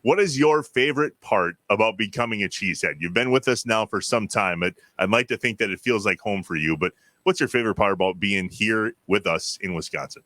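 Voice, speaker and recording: A man's voice: on the low side, fairly quick, hardly any pauses, pushed and bright, dry recording. An American man hosting a sports podcast, asking questions in a conversational interviewing style.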